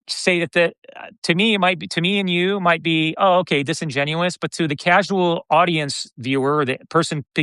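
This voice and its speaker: Bright, clear-voiced middle-aged American man. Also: on the high side, dry recording, poor audio. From United States (Detroit). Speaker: podcast guest, content creator. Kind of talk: casual conversational interview.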